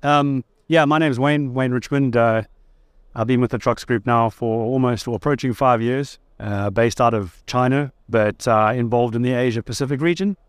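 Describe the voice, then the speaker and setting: A South African man with a smooth, clear voice of middle pitch, answering questions at a trade fair stand. He sounds easygoing and thoughtful, with the odd light joke. The sound is close and dry. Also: moderate pace, dry recording. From South Africa (Durban). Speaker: guest. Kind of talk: conversational interview.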